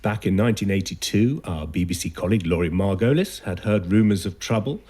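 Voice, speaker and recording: A man's voice: sits low, fairly quick, warm tone, husky. A man reading scripted narration as a broadcast presenter, in a slightly noisy archive clip.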